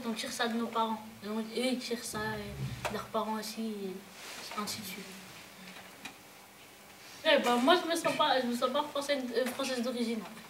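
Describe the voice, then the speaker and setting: A woman's voice: a little high, background noise. A teenage girl from France in a casual classroom debate, hesitant with many fillers and restarts, heard in an echoey room from a distance. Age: teens. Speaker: student in a group discussion.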